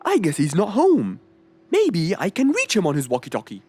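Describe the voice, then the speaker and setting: Woman as an animated, swooping storybook fox gentleman. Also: on the low side, normal speed, husky, faint music behind, full character voice. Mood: puzzled. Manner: expressive, exclamatory. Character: male fox, helpful, curious, genial storybook animal. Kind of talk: acted children's audio story.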